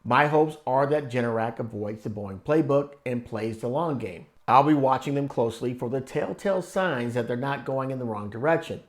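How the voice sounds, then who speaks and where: A man hosting an investing channel, reading scripted analysis in a measured, explanatory way with conversational asides. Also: mid-range voice. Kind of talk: scripted stock analysis narration.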